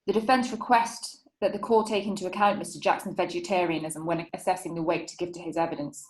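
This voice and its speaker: A woman's voice: on the low side, fairly even intonation, fast, echoey room, close to an everyday voice, low-quality recording. An actress playing a crafty female defence lawyer in a period courtroom play, formal and insinuating. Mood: leading, insinuating. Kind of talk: theatrical courtroom play acting.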